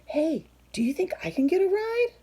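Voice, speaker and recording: A woman voicing eager, friendly animals in a children's storybook, asking and pleading in a bright manner. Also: very high-pitched, sing-song, normal speed, voice pushed up.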